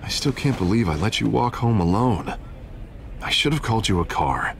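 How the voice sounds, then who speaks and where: A confident, protective politician in a video game. His deep voice moves freely up and down, easygoing and smooth in manner. Faint noise sits behind it. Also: expressive, normal speed. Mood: concerned.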